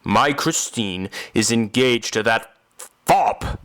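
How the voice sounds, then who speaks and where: A man playing a brooding, commanding opera ghost in an audio drama, speaking in a theatrical, deliberate way. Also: mid-range voice, lively intonation, fairly bright tone. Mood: bitter. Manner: slow, theatrical, deliberate delivery. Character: brooding, menacing masked male opera ghost, commanding and bitter. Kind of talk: amateur audio drama acting.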